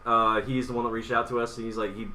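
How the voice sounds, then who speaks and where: Piercingly bright-voiced man, off the mic. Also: medium pitch, flat delivery, fast talker. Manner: casual, profane. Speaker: podcast co-host. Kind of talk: casual gaming chat. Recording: distant mic, bright, faint background noise.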